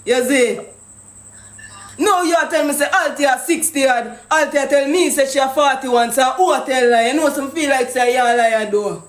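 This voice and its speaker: A man's voice: extremely high pitch, moderate pace, reverberant. A man with a Jamaican accent making a prank phone call, talking pushy and accusing in thick patois. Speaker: prank caller.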